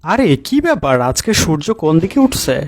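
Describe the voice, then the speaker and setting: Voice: mid-range voice, big pitch swings, a little fast, a little mellow. A performer playing a teasing, wheedling older relative in a comic cartoon, bantering, correcting and making excuses. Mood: amused, patient.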